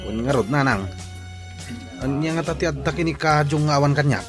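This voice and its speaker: A man's voice: a little high, fairly quick, loud music behind the voice, natural voice. A man with an Ilocano accent acting as a jealous, hot-headed young jilted son in a radio drama. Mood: bitter. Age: young adult.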